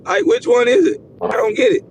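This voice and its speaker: Host, man, blunt debater, gravelly and lively, noisy online call. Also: very high-pitched, fairly quick. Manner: blunt, cursing, pushes back hard. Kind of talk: live panel argument. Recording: lo-fi stream with audible background noise.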